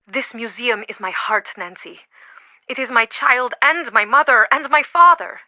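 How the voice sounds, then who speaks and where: A woman's voice: fairly high pitch, animated, a little slow, bright, forceful tone, dry recording, heavily acted. An actress playing an earnest, devoted and easily affronted woman museum curator in a video game, with dramatic, emphatic delivery. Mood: wounded, heartfelt.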